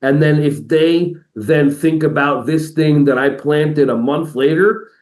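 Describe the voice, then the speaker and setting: A man speaking as an educator and motivational speaker in a podcast interview, energetic and playful, often slipping into quoted voices. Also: on the high side, a little slow, reverberant. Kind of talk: animated conversational interview.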